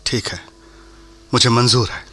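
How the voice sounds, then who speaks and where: A man's voice: mid-range voice, steady tone, a little slow, pushed and bright. A man acting a principled freedom fighter in a Hindi radio play, formal, earnest and respectful. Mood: resigned.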